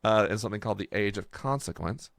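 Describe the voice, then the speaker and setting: A man co-hosting a podcast, explaining casually and off the cuff in a halting, digressive way. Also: fairly low pitch, vocal fry, no room sound, clean studio sound. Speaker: podcast co-host and world builder.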